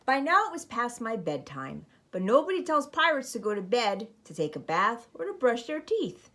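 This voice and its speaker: Woman, children's storyteller, expressive read-aloud, very animated intonation, emphatic phrasing. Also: a little high, normal speed. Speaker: storyteller reading to schoolchildren.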